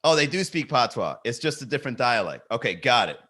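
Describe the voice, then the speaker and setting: Male comedy podcast host, bright forceful voice, animated riffing, some swearing. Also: medium pitch, a little fast, husky. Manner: animated, swearing, rhetorical questions. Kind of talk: comedic riffing and lyric reading.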